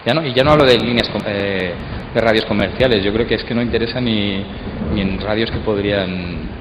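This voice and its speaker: A man's voice: on the low side, a little fast. A man with an accent from Spain making hesitant, unscripted remarks in a panel discussion, often restarting his phrases. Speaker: radio contributor speaking in a congress debate. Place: large hall at a radio drama congress. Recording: echoey hall, dull sound, clear background noise.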